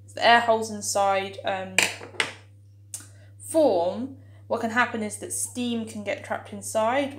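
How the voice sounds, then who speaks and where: A woman's voice: mid-range voice, a little hiss or hum. A young woman chatting casually through a home baking demonstration, upbeat and playful, with plenty of filler ums and little history asides. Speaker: home cooking vlogger. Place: small home kitchen, indoors. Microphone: camera mic on the counter, speaker moving around. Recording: echoey kitchen room sound.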